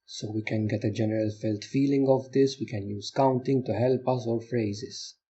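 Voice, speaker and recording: A man leading a guided meditation as a teacher, giving gentle instructions in short phrases with pauses. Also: medium pitch, fairly even intonation, lo-fi sound, slight background noise.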